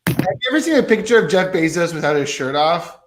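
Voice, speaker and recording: A man co-hosts a comedy stream in a high voice. He jokes loosely and swears casually. The sound comes through a video call. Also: expressive, fast, background noise. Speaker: co-host. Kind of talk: comedic livestream banter.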